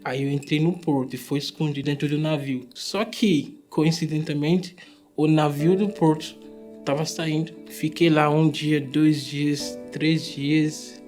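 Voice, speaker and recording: A young man in his twenties with a Nigerian accent tells his story in a fairly high voice. He is a non-native speaker who pauses to find words, with faint music underneath. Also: steady tone, darkish tone. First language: not Portuguese. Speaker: refugee, English teacher and musician. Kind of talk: testimonial in a documentary.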